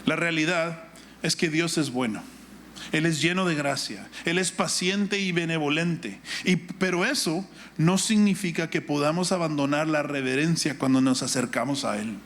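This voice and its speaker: A man's voice: a little high, moderate pace, fairly bright tone. A middle-aged man with a Guatemalan Spanish accent, preaching a sermon in an instructive manner with rhetorical questions and repetition. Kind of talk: sermon with Bible reading and personal anecdote.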